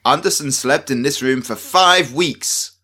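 A man's voice: mid-range voice, measured pace, bright, forceful tone, breathy edge. A man with a Manchester accent, co-hosting a podcast in casual chat, rambling and self-deprecating with frequent restarts. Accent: Manchester English. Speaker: podcast co-host.

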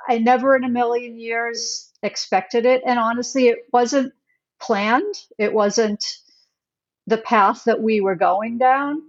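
A woman's voice: a little high, fairly even intonation, slow. Woman on slightly dull audio. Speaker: podcast host. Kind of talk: casual conversational interview.

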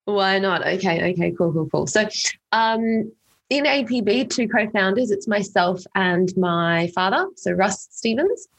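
Young woman, from England, Australian accent, husky, gravelly fry, chatty interview guest. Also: mid-range voice, a little slow, rough recording. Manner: chatty, filler-heavy, repeats words. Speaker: company co-founder, guest. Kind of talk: casual interview storytelling.

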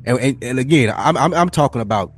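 A man's voice: medium pitch, animated, fast, breathy edge, soft background music. A man co-hosting a podcast in casual, slangy talk, repeating phrases to make his point. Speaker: podcast host. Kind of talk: casual chat.

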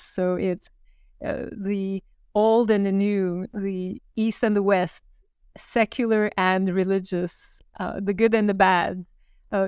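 Smooth-voiced woman designer. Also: medium pitch, no room sound, clean studio sound. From Turkey. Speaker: podcast guest, designer. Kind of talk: conversational interview.

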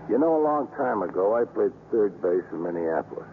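An actor playing a gruff, guarded middle-aged baseball manager with blunt, halting, slangy talk in a 1950s radio drama. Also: male voice, on the low side, big pitch swings. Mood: guarded, wistful. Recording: narrow, noisy 1950s radio recording.

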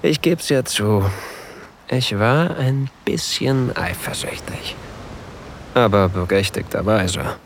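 An actor playing a possessive new lover in an intimate erotic audio drama, murmuring breathily and often whispering close to the microphone. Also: male voice, on the low side, slow, slight background noise. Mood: jealous, teasing. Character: possessive, seductive new lover, adult man, on a sailboat. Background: faint sea ambience.

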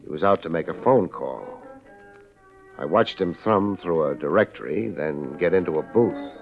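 An American actor playing a wry, laid-back but tough private investigator in a 1950s radio drama. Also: male voice, low-pitched, animated, normal speed, vocal fry. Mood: wry, watchful. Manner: laid-back, wry, hard-boiled delivery. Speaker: lead actor and narrator. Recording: muffled old radio recording, music behind.

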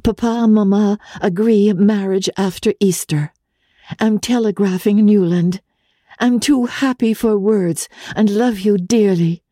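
A woman voicing a happy young fiancée's telegrams in a storybook reading, in clipped, measured phrases. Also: mid-range voice, warm tone, dry recording, clean studio sound, voice pushed up, natural voice. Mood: joyful.

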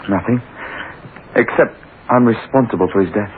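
A man's voice: lowish voice, moderate pace, close to an everyday voice. Man acting anguished young man, tinny old radio. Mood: guilt-stricken. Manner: pleading, agitated, anguished. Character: distraught, guilt-ridden, trembling young man. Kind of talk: 1960s radio horror drama acting. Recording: lo-fi hissy old radio recording.

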